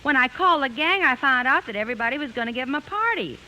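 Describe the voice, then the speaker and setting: Organizing lady friend, brisk, bright, animated intonation, 1950s radio comedy, faint hiss. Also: high-pitched, rough recording. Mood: brisk, emphatic. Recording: hissy old radio recording.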